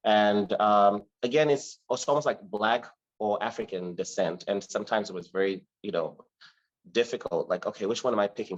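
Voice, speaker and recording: A man from Zimbabwe with a deep, low voice answers interview questions slowly and reflectively. He hesitates often, filling gaps and restarting his thoughts. The sound is somewhat muffled and lo-fi. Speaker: artist guest. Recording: slightly dull lo-fi recording.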